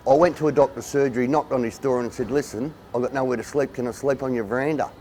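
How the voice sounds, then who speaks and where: A man's voice: mid-range voice, fairly even intonation, fast, warm tone, slight background noise. A man with an Australian accent, speaking plainly and hesitantly in a documentary interview.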